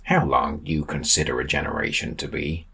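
Downcast storybook sidekick, done by a man, low pitch, weary, deadpan, polite. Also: brisk, vocal fry, husky, exaggerated voice. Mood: puzzled. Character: downcast, polite, long-suffering male companion.

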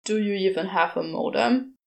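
A woman's voice: medium pitch, moderate pace, vocal fry, voice pushed down, lightly acted. A woman voicing a brusque, moody male demon in a storybook reading, terse and grumbling. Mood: exasperated, sardonic.

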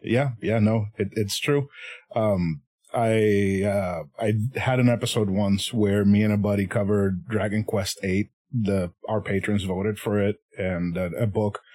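A man's voice: fairly low pitch, unhurried. Man, casual and laughing. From Puerto Rico. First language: Spanish. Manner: laughs often, swears freely, animated. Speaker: podcast guest. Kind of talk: casual chat.

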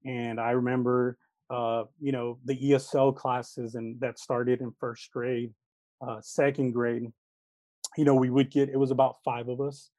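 A man's voice: medium pitch, little pitch movement, unhurried, dark tone, dry recording. A man in his thirties who grew up speaking Spanish, answering interview questions about his life as an elementary school teacher, earnest and reflective, with many "uh"s and "you know"s. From Texas, United States.

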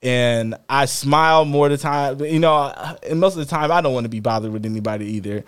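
A man's voice: brisk, smooth. Talkative man co-hosting a podcast. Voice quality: fairly high-pitched voice. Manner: animated, rambling with many restarts. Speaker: podcast co-host. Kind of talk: casual podcast chat.

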